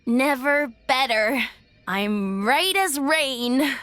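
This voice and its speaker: A spirited young heroine, very high and bright. She is playful, stubborn and devoted, drawing her words out in a fantasy game. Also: lively intonation, drawn-out words, no room sound, soft background music, full character voice.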